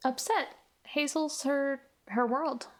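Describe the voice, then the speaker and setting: Female actual-play podcaster, higher-than-average pitch, very slow measured improvised delivery. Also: fairly even intonation.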